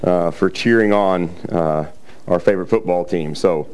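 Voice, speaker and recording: Slightly raspy lower-voiced male pastor. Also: normal speed, a little mellow. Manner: conversational, many fillers, clears throat often. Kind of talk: sermon with scripture reading.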